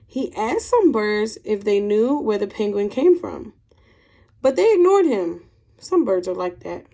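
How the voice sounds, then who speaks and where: A woman's voice: medium pitch, big pitch swings, a little slow, dark tone. A woman teacher speaking expressively to young pupils, as in a read-aloud of a children's storybook. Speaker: teacher reading a picture book aloud to children.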